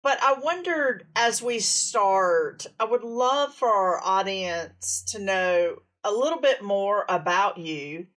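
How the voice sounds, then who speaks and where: A woman co-hosting a podcast interview, asking her questions in a measured way. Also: medium pitch, lively intonation, fairly bright tone, recorded from a distance. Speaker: podcast co-host.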